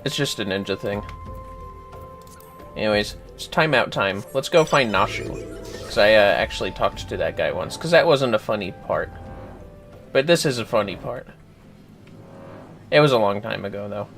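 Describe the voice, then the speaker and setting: A man's voice: lowish voice, creaky, fairly bright tone. A man hosting a casual game livestream, chatting in a playful, joking way. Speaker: livestream host. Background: game music.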